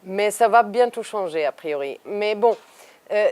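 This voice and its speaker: A woman from Germany, speaking as an artist guest in a conversational interview. She tells her stories with animation, circling back and restarting her sentences as she thinks. Her voice sits in a middle pitch. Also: measured pace. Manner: thoughtful, animated storytelling with many restarts.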